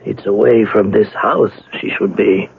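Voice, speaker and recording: An actor playing a loyal household servant in a 1970s radio drama, halting, formal and deferential. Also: male voice, fairly low pitch, steady tone, gravelly, husky, narrow, tinny audio, heavily acted. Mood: concerned.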